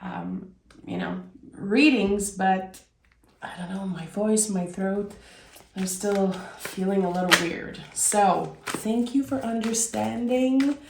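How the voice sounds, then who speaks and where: Raspy-voiced woman reading tarot slowly. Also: medium pitch, roomy sound, background noise. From Québec. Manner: reflective, meandering, with fillers. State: raspy from a cold. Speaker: tarot reader. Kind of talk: casual spoken tarot reading.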